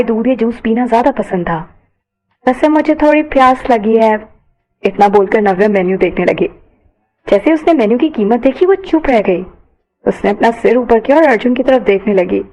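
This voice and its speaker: Female narrator for a serialized audio story, fairly high voice, scripted storytelling delivery. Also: a little mellow, rough recording.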